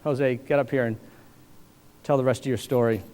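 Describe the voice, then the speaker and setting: A man's voice: mid-range voice, fairly even intonation, moderate pace, a little mellow, clean tone. A middle-aged man introducing a student speaker from a conference stage, talking like a storyteller. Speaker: conference presenter. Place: large conference hall stage. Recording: long hall reverb with audience.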